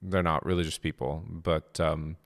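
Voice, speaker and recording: A man's voice: a bass voice, little pitch movement, normal speed. A middle-aged man from Japan, a game studio co-founder, chatting in an interview with many fillers and restarts. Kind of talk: casual interview conversation.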